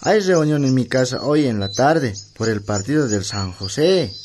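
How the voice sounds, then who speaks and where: An easygoing young Bolivian customer at a market in a radio play, played by a man. He speaks in a friendly, casual mid-range voice. Birdsong and noise are clear behind him. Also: mid-range voice, animated, moderate pace, natural voice.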